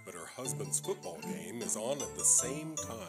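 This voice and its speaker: Middle-aged male announcer over loud music. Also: lowish voice, sing-song, moderate pace. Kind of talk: scripted live radio-show announcing. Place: small indoor stage with live audience. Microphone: studio condenser mic on stand.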